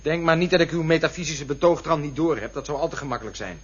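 Husky, pedantic, suspicious man. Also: fairly high pitch, quick pace. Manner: formal, pedantic. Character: pedantic, suspicious man tied by a neck rope. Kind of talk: absurdist radio play dialogue. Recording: muffled old radio drama recording.

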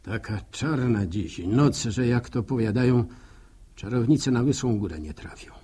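Husky, folksy village storyteller. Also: male voice, medium pitch, expressive, a little slow, warm tone. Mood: musing. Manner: folksy, reflective, proverb-laden rambling. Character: folksy village man narrator, musing, neighbourly, plain-spoken. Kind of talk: radio drama monologue in rustic storytelling.

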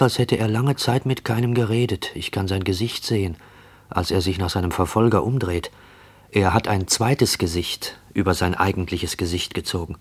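An actor playing a haunted, watchful narrator in a literary radio play monologue, measured and brooding. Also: male voice, sits low, slightly rough. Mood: tense.

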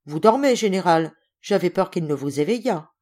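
A woman's voice: fairly low pitch, lively intonation, normal speed, a little mellow. A woman voicing a tactful, placating mother in a storybook, polite and soothing. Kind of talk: acted storybook reading.

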